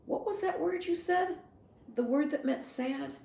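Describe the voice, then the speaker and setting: A woman voicing an earnest, curious and stubborn young girl in a children's novel read-aloud, expressive and full of questions. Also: high-pitched, measured pace, gravelly, roomy sound, pitched above the performer's own voice. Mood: eager.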